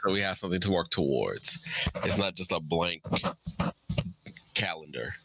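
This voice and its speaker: A man's voice: low voice, animated, moderate pace, fairly bright tone, rough recording. A man who is an author, chatting informally and answering questions in a loose, rambling way. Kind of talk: casual chat, answering audience questions.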